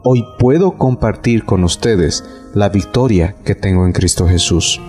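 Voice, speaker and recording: A man's voice: on the low side, a little mellow. A man in his thirties from Bolivia, praying solemnly and giving his testimony as a prison pastor. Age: 30s. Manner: solemn, prayerful, with repeated invocations. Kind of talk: prayer, scripture reading and personal testimony. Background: faint instrumental music.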